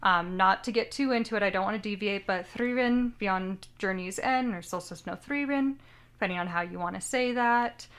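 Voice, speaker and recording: A woman's voice: medium pitch, moderate pace, fairly bright tone, clean tone. A young woman co-hosting a casual anime podcast, chatty and rambling, often second-guessing how she pronounces names. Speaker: podcast co-host.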